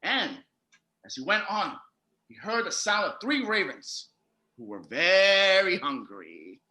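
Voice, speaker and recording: Performer as storybook narrator, wry, patient, crisp bright tone, animated, roomy echo. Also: mid-range voice, slow, full character voice. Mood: storytelling. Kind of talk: storybook narration in comic audio drama.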